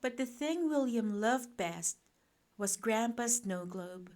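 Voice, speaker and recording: Lady reading a bedtime story, gentle n expressive, really slow and deliberate. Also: a little high. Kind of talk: bedtime picture-book narration.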